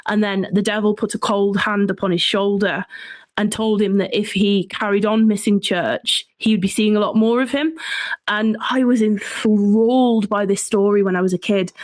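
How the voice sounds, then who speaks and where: Female English folklore guest, mid-pitched, chatty and keen storyteller, very dry sound. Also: dry recording. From Shropshire, England. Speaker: guest, folklore writer and researcher. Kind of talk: conversational interview, storytelling.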